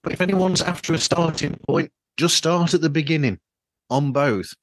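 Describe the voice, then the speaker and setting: A man's voice: a little high, normal speed, dry recording, poor audio. A man speaking casually as a podcast host in an interview chat. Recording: faulty microphone sound.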